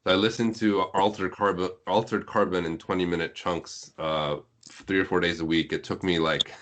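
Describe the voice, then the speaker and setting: A man's voice: sits low, steady tone, moderate pace, a bit bright. A man talking as a podcast guest in a casual, rambling, anecdotal way. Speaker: translator and writer, podcast guest.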